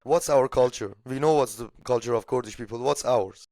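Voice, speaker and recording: A man's voice: medium pitch, a little fast, mellow, no room sound, clean studio sound. Emphatic Iranian man talking politics. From Shiraz, Iran. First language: Persian. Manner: emphatic, blunt, swears casually. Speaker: political podcast speaker. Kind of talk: casual political discussion.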